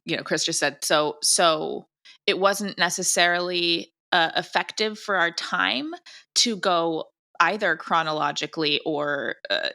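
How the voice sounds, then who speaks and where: A woman's voice: lowish voice, unhurried, pushed and bright. A talkative woman chatting casually and emphatically as a director being interviewed. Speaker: audio drama director, interview guest.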